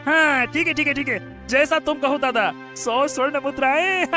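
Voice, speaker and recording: A man voicing a cocky, excitable younger brother, a sly accomplice in a moral-story cartoon. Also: very high voice, slow, music in the background. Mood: gleeful. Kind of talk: animated Hindi moral-story dialogue.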